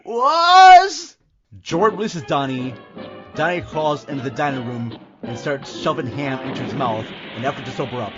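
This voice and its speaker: A man's voice: mid-range voice, a little fast, lo-fi sound, over loud music. A man hosting and narrating an audio drama from a script in a dramatic style. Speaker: podcast host and narrator.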